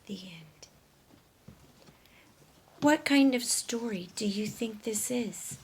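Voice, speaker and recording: A woman reading a picture book aloud to children, often hushed, with expressive, deliberate narration and questions for young listeners. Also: fairly high pitch, husky.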